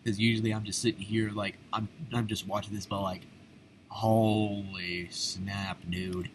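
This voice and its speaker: An American man giving live, unscripted reaction commentary as host, excitable and exclamatory, with casual slang. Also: fairly low pitch, unhurried, background noise.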